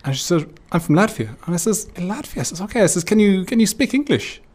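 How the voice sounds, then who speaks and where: A man's voice: high voice, fast, breathy edge. A man from Ireland with an Irish accent, casually and jokily telling a story as an interview guest. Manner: jokey, animated anecdote telling.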